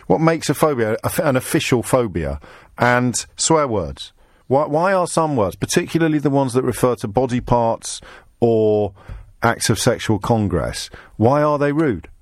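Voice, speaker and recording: A middle-aged English man hosting a radio phone-in from a studio, bantering quickly and mocking himself. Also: medium pitch, lively intonation, no echo. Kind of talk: casual phone-in chat. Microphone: studio microphone.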